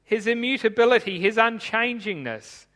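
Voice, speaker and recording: High, bright-voiced man preaching in a hall. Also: lively intonation. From Tanzania. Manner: measured, explanatory, reading from notes. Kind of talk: sermon.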